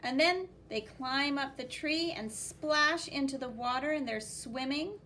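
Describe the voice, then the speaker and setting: A woman reading a picture book aloud to children as a teacher, expressive and exclaiming, with spoken sound effects and commentary. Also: sits high, a little slow, a little hiss or hum.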